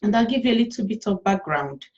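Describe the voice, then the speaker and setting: Female language tutor, Nigerian Igbo accent, mid pitch, encouraging and informal, slightly dull webcam sound. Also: lively intonation, fast talker, darkish tone. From Enugu State, Nigeria. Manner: encouraging, often checking in with listeners. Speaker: language teacher. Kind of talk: informal instructional talk to camera. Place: small plain room indoors.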